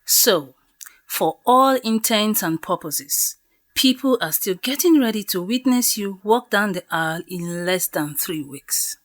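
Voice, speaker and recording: A woman's voice: medium pitch, animated, unhurried, close to an everyday voice. An actress plays an impatient young friend in an audio drama. Her mid-range voice moves animatedly, wry and emphatic as she teases.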